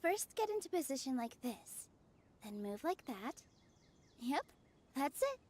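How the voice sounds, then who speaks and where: A woman's voice: high voice, sing-song, measured pace, a little mellow. A woman voicing an easygoing, encouraging young female character in a video game. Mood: instructive.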